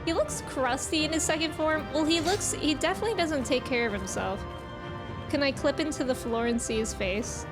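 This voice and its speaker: A woman's voice: sits high, moderate pace, over music. A woman chatting casually and playfully as she livestreams a video game, reacting and greeting viewers.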